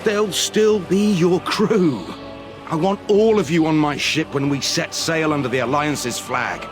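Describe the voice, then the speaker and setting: A man's voice: on the high side, unhurried, close to an everyday voice. An actor playing an earnest male ship captain recruiting a crew in a video game cutscene. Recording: orchestral music behind the voice.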